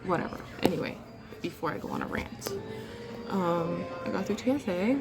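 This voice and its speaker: A woman's voice: lowish voice, wildly swooping pitch, moderate pace, over loud music, poor audio. Rambling, sleep-deprived young woman vlogging. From South Florida. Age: 20s. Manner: rambling and chatty with many fillers. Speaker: vlogger and night shift nurse. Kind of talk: casual vlog chat. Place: airport terminal.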